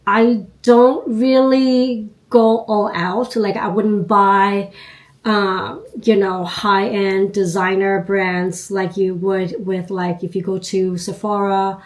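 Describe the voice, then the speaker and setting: Nasal woman in her fifties, unhurried chat. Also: medium pitch. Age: 50s. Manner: unhurried, chatty, frequent ums and you knows. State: congested from a cold. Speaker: beauty vlogger. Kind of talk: casual chat to camera.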